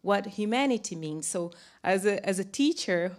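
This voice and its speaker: A woman with a Brazilian accent, a teacher speaking on a live panel, playful and deliberate in how she talks. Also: mid-range voice, lively intonation. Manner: warm, playful, slow and deliberate.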